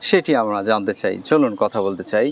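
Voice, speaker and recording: A man's voice: fairly low pitch, lively intonation, fast talker, a little hiss or hum. A man with a Bangladeshi accent hosting an interview, addressing viewers formally and putting questions to a guest. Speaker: interviewer, host.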